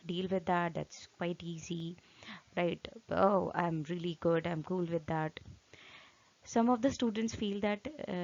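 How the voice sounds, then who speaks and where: An Indian-accented woman in her thirties gives an informal online lesson. Her voice sits low and carries a gravelly fry. She rambles and corrects herself, and the audio sounds dull and lo-fi. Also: a little mellow. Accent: Indian English. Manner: rambling, many fillers and self-corrections, frequent "right?". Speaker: IELTS trainer. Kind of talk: informal online teaching talk.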